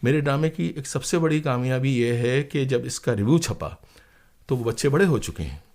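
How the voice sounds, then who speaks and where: A man talks as a guest in an interview. His voice sits at a medium pitch. He recounts things conversationally, with emphasis and a sense of drama. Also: expressive, moderate pace, a little mellow.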